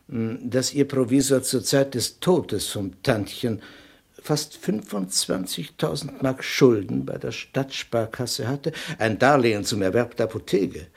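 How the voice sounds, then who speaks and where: An actor playing a wry, deceptively polite detective in a 1960s radio crime play, probing quietly in a husky, hesitant, insinuating way. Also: male voice, mid-range voice, heavily acted.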